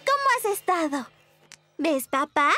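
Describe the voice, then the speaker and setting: A curious, cheeky cartoon girl in a cartoon dub. Her voice sits very high and rings bright, and she speaks unhurriedly, with lots of up and down. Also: very animated, a little slow, faint music behind, exaggerated voice. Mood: bright eager. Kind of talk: Latin American Spanish cartoon dub.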